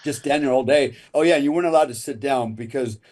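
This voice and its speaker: Man, podcast guest, dark mellow voice, rambling storyteller, chuckles. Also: medium pitch, fast talker. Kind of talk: casual storytelling.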